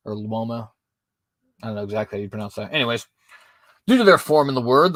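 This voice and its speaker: A man's voice: medium pitch, a bit bright, dry recording. A man hosting a podcast, reading prepared commentary aloud with frequent fillers and self-corrections. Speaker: podcast host. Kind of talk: apologetics commentary reading notes.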